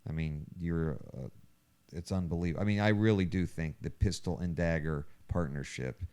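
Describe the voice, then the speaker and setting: Very deep, clear-voiced man hosting a podcast. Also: normal speed, a little mellow. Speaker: podcast host. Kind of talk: casual podcast conversation.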